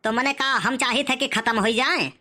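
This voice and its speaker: A man's voice: very high voice, steady tone, fairly quick, pushed and bright, heavily acted. A man voicing a sly, stingy village host in a comic cartoon, with an Awadhi accent and mock-polite excuses. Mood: mock welcome.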